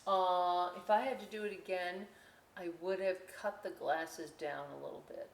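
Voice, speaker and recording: A woman hosting a children's storytime and craft session, chatty and expressive, speaking directly to young listeners. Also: lowish voice, moderate pace, a little hiss or hum. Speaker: library storytime host. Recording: echoey room.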